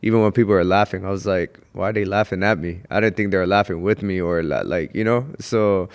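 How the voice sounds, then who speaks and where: A young man with a low, deep voice tells his story in a relaxed podcast chat, pausing now and then to think. His tone is smooth and resonant, and he speaks in a reflective, unhurried way. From Houston, Texas. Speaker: guest comedian. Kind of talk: casual podcast interview.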